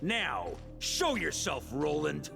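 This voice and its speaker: A man's voice: on the high side, sing-song, takes it slowly, gravelly, music in the background, full character voice. A man playing a seasoned, resolute knight hero in a video game, confident and wry, with a dramatic delivery.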